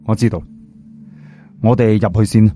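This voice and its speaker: A man with a Cantonese accent voicing a courteous, composed male jade expert in an audio story, polite and measured. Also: fairly low pitch, fairly even intonation, warm tone, faint music behind, pitched below the performer's own voice, close to an everyday voice. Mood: calm, polite.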